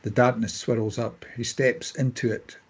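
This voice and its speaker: A man's voice: mid-range voice, level tone, a little slow, husky. A man reading stage directions as scripted narration for a drama table read.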